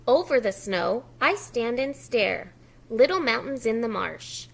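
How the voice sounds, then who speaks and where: A woman working as a preschool teacher, reading a picture book aloud expressively and pausing with encouraging, simple prompts for young children. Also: on the high side, lively intonation, vocal fry.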